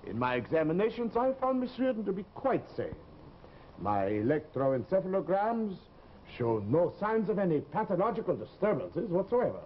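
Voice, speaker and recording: An actor playing a grave, middle-aged sanitarium doctor, formal and hesitant, in 1950s television drama style. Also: male voice, high voice, sing-song, moderate pace. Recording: dull, noisy old TV soundtrack.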